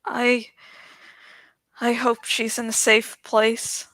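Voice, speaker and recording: A woman's voice: fairly high pitch, little pitch movement, slow pace, fairly bright tone, no echo. A woman voicing an anxious, weakened young man in a storybook reading, hesitant and stammering. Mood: worried.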